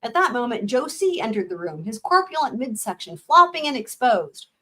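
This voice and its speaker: Hoarse young woman with sarcastic asides. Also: a little high, very animated, moderate pace, pushed and bright. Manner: sarcastic, blunt asides with swearing. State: losing her voice, hoarse. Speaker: livestream host reading a book aloud. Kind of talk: live dramatic reading with commentary.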